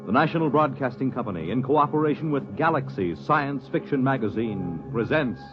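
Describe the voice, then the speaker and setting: A man's voice: mid-range voice, lively intonation, a little fast. Theatrical 1950s radio announcer, man. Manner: sweeping, theatrical. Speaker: show announcer. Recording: dull 1950s radio broadcast with music.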